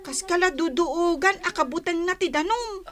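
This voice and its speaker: A woman as a high-pitched, plucky country girl. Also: normal speed, a bit bright. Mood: indignant. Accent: Filipino. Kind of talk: comedy drama acting.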